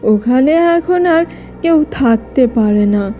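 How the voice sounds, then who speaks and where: Woman playing a slow-talking kindly old grandmother. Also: high-pitched, animated, warm tone, soft background music. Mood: grave. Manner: grave, reassuring, storytelling. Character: kindly protective old grandmother telling a grim legend. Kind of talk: children's cartoon acting.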